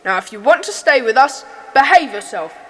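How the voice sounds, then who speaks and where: An actress playing a bossy, indignant young schoolboy in a children's audio drama, with a scolding, commanding manner. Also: female voice, high voice, expressive, a little fast, a bit bright, slight background noise. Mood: stern. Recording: long hall-like reverb.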